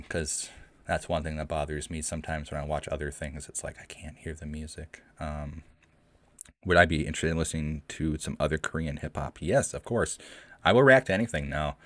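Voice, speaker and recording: A young man with a deep voice hosts a casual live Q&A, rambling with many ums and restarts, and his voice shakes a little from the cold. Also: a little fast, fairly bright tone, no echo. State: shaky voice from the cold. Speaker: streamer hosting a live Q&A. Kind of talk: casual livestream chat. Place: cold room at home. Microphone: home streaming mic.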